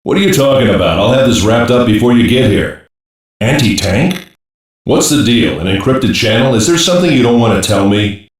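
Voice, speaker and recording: A man playing a gruff, wisecracking special-operations cyborg soldier in an anime dub, blunt and sardonic with slangy delivery. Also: mid-range voice, animated, moderate pace, heavy reverb, distant mic, lightly acted, rough recording. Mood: brusque. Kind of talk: English-dub anime voice lines.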